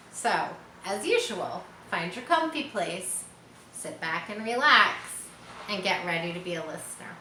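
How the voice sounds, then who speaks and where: A woman reads a children's story aloud at an unhurried pace. Her bright voice rises and falls with lots of expression, in an echoey room. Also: medium pitch. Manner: expressive, explains asides to young listeners. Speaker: storybook reader. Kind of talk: children's read-aloud narration. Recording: roomy echo, faint background noise.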